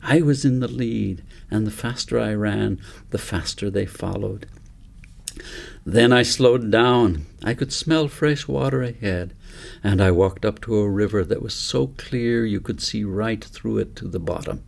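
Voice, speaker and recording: Low, gravelly man reading a story. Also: measured pace, darkish tone. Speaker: author reading own book. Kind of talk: audiobook story narration.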